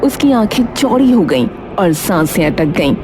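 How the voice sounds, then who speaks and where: A woman's voice: fairly low pitch, big pitch swings, moderate pace, over music. A woman narrating a scripted children's story in a dramatic storytelling style.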